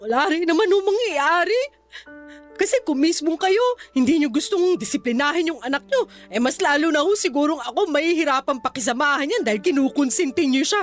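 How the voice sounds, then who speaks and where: A woman's voice: high-pitched, expressive, a little fast, faint music behind. A woman playing a hurt, defiant young wife in a Filipino radio drama, speaking emotionally and bluntly with a Filipino accent. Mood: hurt, resolute. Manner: emotional, pleading, outspoken.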